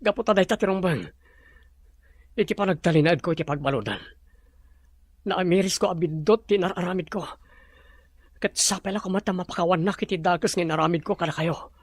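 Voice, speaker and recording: A man's voice: high voice, a little fast, long pauses, no echo. A man playing an emotional, troubled older brother in prison in an Ilocano audio drama. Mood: remorseful.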